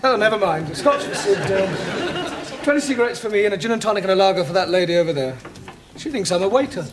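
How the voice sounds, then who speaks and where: An actor playing a polite, patient schoolteacher who gets flustered. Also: male voice, high-pitched, expressive, fast talker, a crowd in the background. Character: polite flustered male English teacher.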